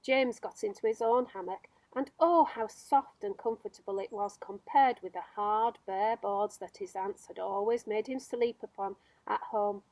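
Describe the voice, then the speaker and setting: A woman reading a children's story aloud as a storyteller, with expressive narration. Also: medium pitch, normal speed, few pauses, no echo, low-quality recording.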